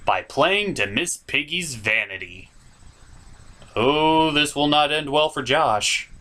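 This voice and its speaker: Guy doing a jokey review recap, voice kinda high and all over the place, theatrical little asides. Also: animated, slow pace, sharp tone. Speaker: host of a puppet show review series. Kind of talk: comedic review narration, recapping.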